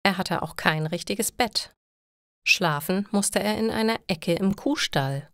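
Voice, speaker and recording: A woman reading scripted stories for language learners in a clear, deliberate narrating style. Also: mid-range voice, a bit bright, no echo, studio quality.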